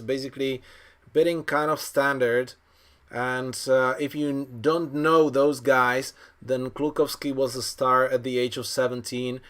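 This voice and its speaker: A man around forty giving live bridge commentary as a coach, thinking aloud in an analytical, unhurried way. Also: medium pitch, a bit bright, clear, resonant tone. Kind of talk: live card game commentary.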